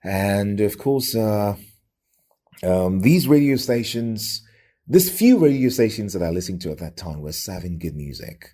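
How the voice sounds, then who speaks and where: A middle-aged Nigerian man with a Nigerian accent, telling his own story reflectively in an interview with a radio presenter's deliberate, emphatic delivery. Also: on the low side, very animated, mellow. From Lagos, Nigeria.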